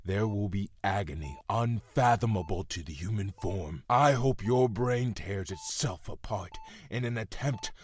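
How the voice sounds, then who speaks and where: Audio drama captive, male actor: middle-aged man, very low and slow, husky, grave, bitter and defiant after long imprisonment. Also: expressive. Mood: anguished fury. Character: bitter, broken longtime male prisoner, defiant and anguished.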